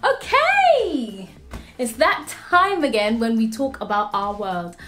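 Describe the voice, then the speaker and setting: A young woman presenting a children's lesson, animated and encouraging, speaking clearly for small children. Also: a little high, slow. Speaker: early years teacher and host. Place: small room with plain walls, indoors.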